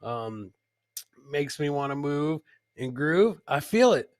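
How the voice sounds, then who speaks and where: A man's voice: fairly high pitch, sing-song, takes it slowly, dry recording. A man hosting a casual comedy clip show, chatting to his co-host and viewers and often repeating phrases for comic effect. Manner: chatty, addresses co-host and live viewers by name.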